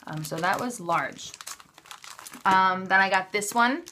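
A woman with a bright, forward voice at an average pitch. She talks casually, as in an unscripted show-and-tell. Her delivery is chatty and offhand, and she often breaks into her own sentences. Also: takes it slowly, slight background noise. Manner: chatty, self-interrupting, offhand. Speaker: craft haul vlogger. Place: indoors at a craft table.

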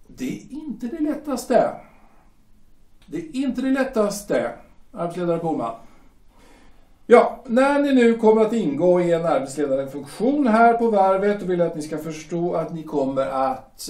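A man's voice: high voice, very animated, a little mellow. An actor playing a stern male shipyard director who lectures formally, with hesitant fillers, in an audio drama. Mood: formal lecturing. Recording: roomy echo, distant from mic.

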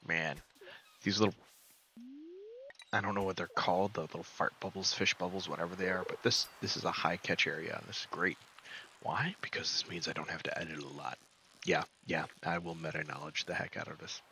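A young man giving relaxed, joking commentary while playing a game, with a soft, soothing voice and mumbled asides. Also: deep voice, fairly quick, slight background noise, rough recording. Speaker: gaming streamer. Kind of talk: casual gameplay commentary.

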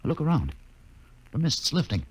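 An actor playing a bitter, sardonic doctor in a 1950s radio drama, deliberate, with a gravelly, husky voice. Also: male voice, fairly high pitch, huge pitch swings, heavily acted, rough recording. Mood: dryly curious. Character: bitter, sardonic male doctor, uneasy loner.

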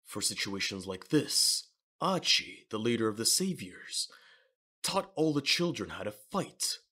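A man with a husky voice reading scripted dramatic narration for a manga audio drama. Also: on the low side, sing-song, a little slow, sharp tone.

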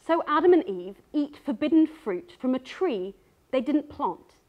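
A woman in her thirties giving a prepared talk on a dark stage, with an earnest, measured delivery as she reads from her notes. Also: high-pitched, expressive, muffled recording. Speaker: speaker. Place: dark stage before an audience.

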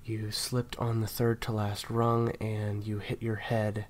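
A man acting in an intimate audio roleplay as a caring, awkward handler who speaks softly and haltingly to an injured partner. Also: fairly low pitch, monotone. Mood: concerned, gentle. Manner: hesitant, halting, soft-spoken. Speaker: voice actor.

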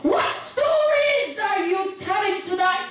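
A middle-aged woman preaching a sermon at a women's convention, half-singing her lines and rising to shouts. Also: extremely high pitch, animated, slow pace, over music. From Canada. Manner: impassioned preaching, half-sung, with shouted peaks. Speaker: guest speaker at women's convention. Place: church sanctuary. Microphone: handheld mic. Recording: echoey church sound from a distant camera.

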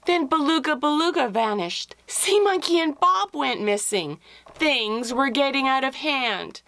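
A middle-aged woman reads a children's picture book aloud in an expressive, deliberate storytelling manner. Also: sits high, no room sound. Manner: expressive, deliberate, with drawn-out phrases. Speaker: author reading her picture book aloud.

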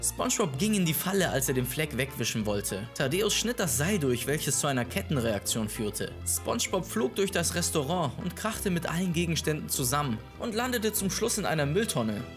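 Male YouTube narrator, 20s, higher pitch, scripted but casual, music underneath. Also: big pitch swings, normal speed, few pauses. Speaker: YouTube host. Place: small room at home. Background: background music.

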